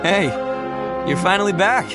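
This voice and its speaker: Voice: mid-range voice, lively intonation, moderate pace, close to an everyday voice. An actor voicing a friendly, upbeat oni from a folk tale in a video game. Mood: welcoming. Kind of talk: video game storybook acting. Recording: loud music under the voice.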